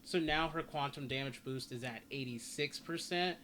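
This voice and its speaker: A man's voice: medium pitch, normal speed, pushed and bright. A man giving a casual, rambling video game guide, often repeating and rephrasing himself. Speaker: gaming video creator. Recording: noticeable background noise.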